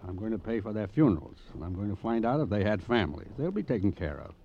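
An actor playing an anguished, evasive middle-aged American husband, halting and pleading in a 1950s radio drama. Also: male voice, on the low side, expressive, muffled recording. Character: anguished, evasive wealthy husband, cornered and guilt-ridden. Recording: noisy old radio recording.

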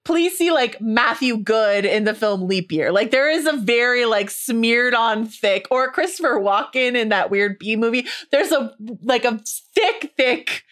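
A woman co-hosting a podcast in casual chat, chatty and self-deprecating with quick asides. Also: fairly high pitch, expressive, fairly bright tone. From not Irish. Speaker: podcast co-host.

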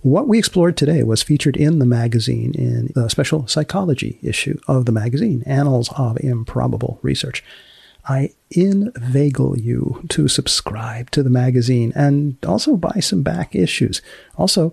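A man hosting a podcast in a deadpan, understated, conversational way. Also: medium pitch, moderate pace, creaky, breathy edge, no echo. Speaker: podcast host. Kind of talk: conversational interviewing and podcast narration.

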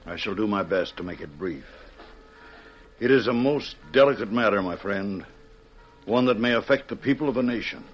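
A grave, kindly mission priest in a vintage radio drama. His low voice is measured and formal, heard through a slightly muffled old broadcast. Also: lively intonation, soft background music. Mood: grave, confiding. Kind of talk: 1940s-50s radio western acting.

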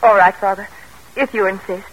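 An actress playing a clever, earnest and devoted seventeen-year-old daughter with refined, polite delivery in an old-time radio drama. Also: female voice, medium pitch, fairly even intonation, moderate pace, lightly acted. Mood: reluctant, obedient. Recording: noisy old radio broadcast recording.

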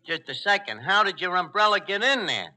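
A man's voice: sits high, very animated, fairly quick, bright, forceful tone, exaggerated voice. An actor playing a brash, flirtatious working-class tavern man with a New York accent, mangling fancy words in a 1940s radio comedy. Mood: sarcastic. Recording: noisy, clicky old radio broadcast.

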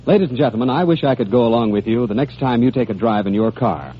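A man delivering an advertising pitch as a 1940s radio announcer. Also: lively intonation, fast. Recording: muffled, noisy old radio recording.

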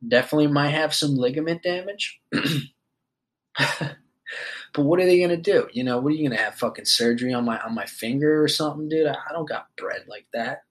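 A man's voice: fairly high pitch, a little fast. Rambling, foul-mouthed young man. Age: 20s. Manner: loose and rambling, swears often, many fillers. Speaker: podcast host. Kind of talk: casual monologue.

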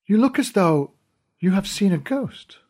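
Man voicing a stately, measured fairy queen. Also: sits high, big pitch swings, dark tone, pitched above the performer's own voice, heavily acted. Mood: regal. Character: regal fairy queen, calm and commanding.

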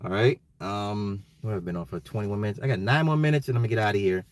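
An American man ranting casually on a livestream, emphatic and slangy, repeating his phrases, his voice getting slightly hoarse. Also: lowish voice, fast talker. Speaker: livestream host. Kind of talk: casual opinionated livestream rant.

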